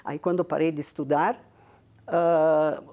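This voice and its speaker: An older woman with a strong Italian Veneto-flavored Brazilian accent speaks in a low, mellow voice. She talks in an unhurried, reflective way, proud of where she comes from. She reminisces as an interview guest. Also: level tone, muffled recording. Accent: Italian Veneto-influenced Brazilian Portuguese. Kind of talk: reminiscing storytelling.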